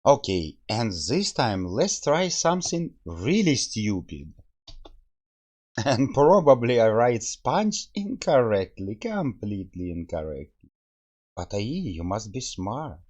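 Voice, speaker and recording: A man's voice: medium pitch, very animated, slow pace, a little mellow. A man giving casual, playful gameplay commentary as he reviews a game, sounding amused. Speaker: game reviewer playing along.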